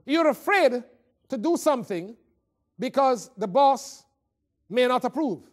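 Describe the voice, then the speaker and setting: Man, Caribbean accent, high voice, motivational speaking, conversational anecdotes, theatrical delivery. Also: lively intonation, measured pace. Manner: theatrical storytelling, acting out dialogue. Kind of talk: animated motivational talk with humorous personal anecdotes.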